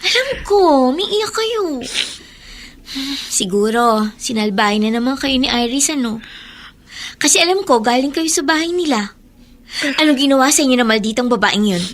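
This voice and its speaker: A Filipino actress playing an aggrieved, feisty but respectful teenage daughter in a radio drama, plaintive and indignant. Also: female voice, high-pitched, animated, moderate pace, pauses often, slight background noise. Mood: concerned, suspicious. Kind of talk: Tagalog radio drama acting.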